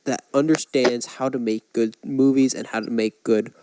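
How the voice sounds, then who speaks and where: A man's voice: mid-range voice, steady tone, normal speed, mellow, smooth, no echo. A man co-hosting a podcast, casually discussing films and giving his opinions with examples. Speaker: podcast co-host.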